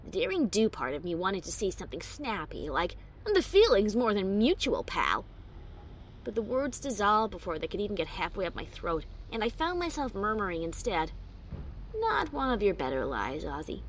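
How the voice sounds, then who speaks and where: A woman's voice: medium pitch, very animated, normal speed, slight background noise, poor audio. A woman playing a wry but secretly anxious adventurer pony who narrates her own story expressively, with bravado over nerves. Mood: guarded. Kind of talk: first-person dramatic story narration.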